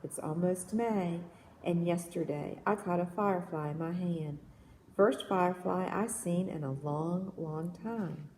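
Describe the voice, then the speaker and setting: A woman reading children's poems aloud in an expressive storytelling manner, recorded in an echoey room. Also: fairly low pitch, measured pace. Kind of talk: read-aloud of children's verse novel. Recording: echoey reverberant room with background noise.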